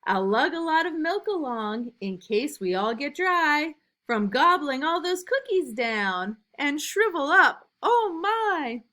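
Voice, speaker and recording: A woman reading a rhyming storybook as an eager, imaginative little boy, in an emphatic sing-song with drawn-out line endings. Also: sits high, big pitch swings, pushed and bright, pitched above the performer's own voice, full character voice. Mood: eager, excited. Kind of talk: rhyming storybook read aloud.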